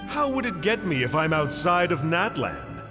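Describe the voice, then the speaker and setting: An actor playing a sly, glib stranger in a video game, teasing in a drawl. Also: male voice, a little high, very animated, normal speed, long reverb tail, over music. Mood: breezy, sly.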